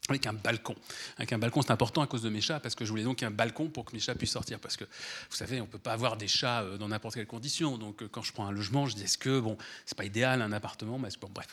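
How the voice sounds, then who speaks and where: A man who has a cold, a guest author talking in a rambling, animated way, with many restarts, before an audience at an event. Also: fairly low pitch, fast, slightly rough. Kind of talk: relaxed conversational talk at a public event.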